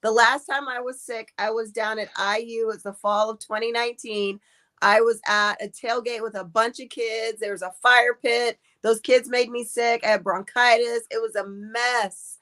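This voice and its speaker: A woman's voice: on the high side, steady tone, normal speed, fairly bright tone. A woman co-hosting a casual talk show, chatty and playful, with a cold. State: has a cold.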